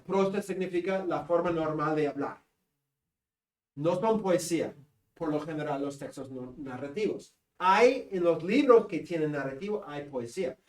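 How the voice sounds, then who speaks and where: A man with a northern Peruvian Spanish accent giving a seminary class lecture, joking with students and pausing on fillers. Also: a little high, a little mellow. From Peru. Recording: echoey classroom from a distance.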